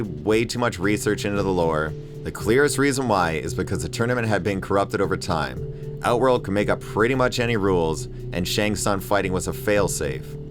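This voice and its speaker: A man hosting a movie review video, giving comedic commentary in a wry, mock-exasperated way with chuckles. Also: low-pitched.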